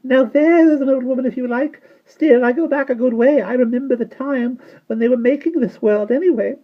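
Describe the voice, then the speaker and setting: Reader doing a shrill, very high little old shopkeeper woman, bossy and cackling, swinging between sweet and fierce; dull lo-fi sound. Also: a little fast, close to an everyday voice. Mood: chattering. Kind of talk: storybook reading.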